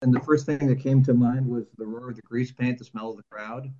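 A man who is a stage actor, guesting on an interview in a relaxed, chatty way. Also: medium pitch, a little fast, lo-fi sound. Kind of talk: casual interview.

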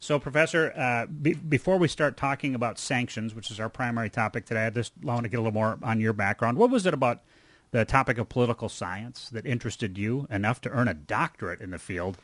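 A male interview host with a mid-pitched voice, recorded close and dry. He phrases things formally and in a measured way, as a presenter setting up a serious discussion. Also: fairly bright tone, dry recording. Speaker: radio show host and interviewer. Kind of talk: introducing a guest and asking questions.

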